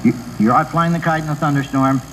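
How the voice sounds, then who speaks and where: A male comedian doing a one-sided conversation routine in a dry, halting, questioning way. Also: on the high side, fairly even intonation, fairly bright tone. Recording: very noisy old video tape playback.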